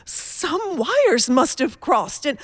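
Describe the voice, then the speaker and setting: A woman's voice: high-pitched, big pitch swings, takes it slowly, dry recording, clean studio sound, exaggerated voice. A woman playing an imperious, panicky telephone caller in a radio thriller, melodramatic and insistent. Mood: flustered, urgent.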